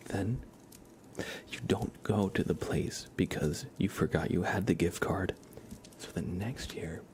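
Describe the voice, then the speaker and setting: Low-voiced man in hushed ASMR chat. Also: noisy background. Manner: rambling, playful asides to viewers. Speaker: livestream host. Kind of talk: casual ASMR livestream chat, often whispered.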